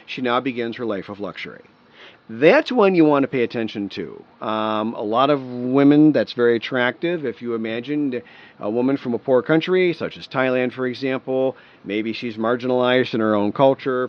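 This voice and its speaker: A man's voice: medium pitch, lo-fi sound. A middle-aged man from Michigan talking unscripted to camera, rambling and restarting his words often. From Michigan, USA. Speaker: vlogger on retiring abroad.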